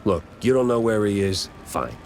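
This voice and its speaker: A man's voice: lowish voice, very animated, moderate pace, gravelly, a little mellow, a little hiss or hum. A man playing a world-weary, wisecracking cartoon mouse private detective with a dry, sardonic delivery in a hardboiled noir game. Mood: serious, gruff.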